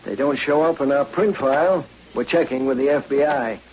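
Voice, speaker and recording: An actor playing a businesslike police lieutenant in 1940s radio drama style. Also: male voice, mid-range voice, normal speed, lightly acted. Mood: puzzled, businesslike. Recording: muffled, noisy old-time radio recording.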